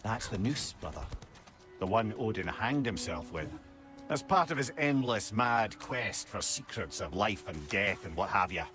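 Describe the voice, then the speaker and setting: An actor with a Scottish accent playing a witty, wordy old sage, a talking head, in a video game drama. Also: male voice, lowish voice, lively intonation, measured pace, pushed and bright. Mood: wry. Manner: wordy, wry, colloquial cursing. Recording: game music behind the voice.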